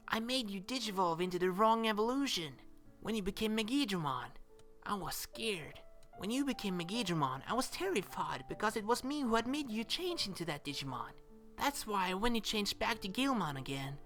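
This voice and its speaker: An earnest young boy, played by a woman, talks in a bright voice that rises and falls freely. He speaks hesitantly and conversationally, as in an audio drama monologue to a missed companion. Soft music plays behind him. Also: on the high side. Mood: heartfelt, faltering. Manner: hesitant, earnest, conversational with small nervous laughs.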